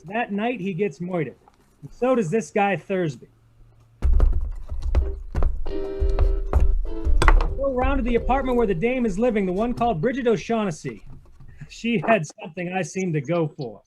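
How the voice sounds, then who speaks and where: A man's voice: high-pitched, lively intonation, moderate pace, pauses often, a little mellow, soft background music. A man with an American accent playing a cynical, tough, slangy private detective in a 1940s radio drama. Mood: hard-boiled. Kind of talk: 1940s radio drama, hard-boiled narration.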